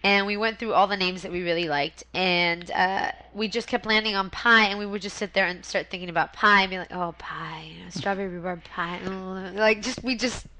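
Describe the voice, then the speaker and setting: Brief: young adult woman, bright tense voice, chatty guest who laughs a lot. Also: medium pitch, brisk, hardly any pauses. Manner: laughs often, chatty, many fillers. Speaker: guest actress and singer. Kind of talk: casual interview chat.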